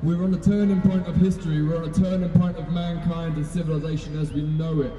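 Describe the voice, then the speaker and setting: A man's voice: on the high side, flat delivery, quick pace. A man speaking at an outdoor protest in a rousing, declamatory way. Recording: distant, echoey, muffled rally recording.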